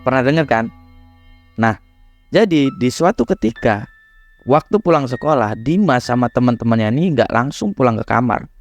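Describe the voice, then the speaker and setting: A young man, hoarse and nasal from a cold, chatting casually to viewers as he tells a horror story. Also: mid-range voice, expressive, moderate pace, highs cut off, soft background music. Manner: chatty, addresses viewers as guys. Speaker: horror storyteller, channel host.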